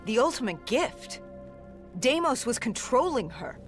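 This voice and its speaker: A woman's voice: on the high side, very animated, unhurried, soft background music. An actress playing a grave, determined female soldier in a video game, speaking with serious intensity. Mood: confrontational. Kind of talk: dramatic video game acting.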